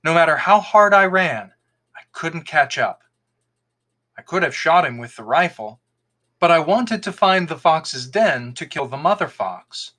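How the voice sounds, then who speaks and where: A man reading a folktale aloud as an expressive podcast storyteller, in scripted narration. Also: on the high side, a little slow.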